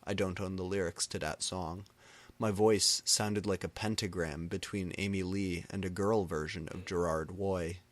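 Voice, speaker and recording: A man narrating comic fan fiction as a deadpan dramatic reading. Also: sits low, moderate pace, no room sound.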